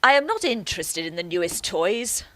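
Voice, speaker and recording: A woman's voice: on the low side, very animated, moderate pace, a bit bright. A woman acting a stern, superior archbishop in a radio play, with a haughty, lecturing manner. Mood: disdainful. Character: stern, pious, superior archbishop, scolding and dignified. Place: actor's home, recorded remotely.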